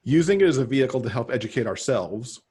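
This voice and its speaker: A middle-aged man chatting casually as a podcast guest on a video call, pausing to think as he goes. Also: medium pitch, lively intonation, dark tone, husky. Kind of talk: casual conversational interview. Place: home room, on a video call.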